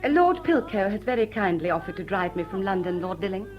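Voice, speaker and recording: An actress playing a gracious, charming society hostess in a period stage comedy, speaking politely and coaxingly with refined manners. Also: female voice, medium pitch, very animated, quick pace, lo-fi sound, soft background music, natural voice. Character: gracious, charming society widow hosting guests, self-deprecating.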